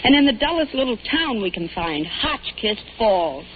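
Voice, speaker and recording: A woman's voice: on the high side, very animated, moderate pace. An actress playing a fed-up young soda fountain girl in a 1940s radio drama, wry, sarcastic and defiant. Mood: bitter, fed up. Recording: tinny, noisy old radio recording.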